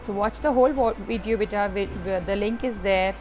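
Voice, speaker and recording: A woman's voice: medium pitch, a little fast, darkish tone, faint music behind. A woman teaching young children in an online class. She reads from a textbook and explains it patiently, step by step.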